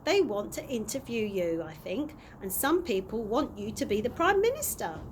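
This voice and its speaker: A woman's voice: on the high side, big pitch swings, moderate pace. A woman reading the part of an eager, caring young girl in a storybook, earnest and questioning. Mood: urgent. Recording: audible background noise.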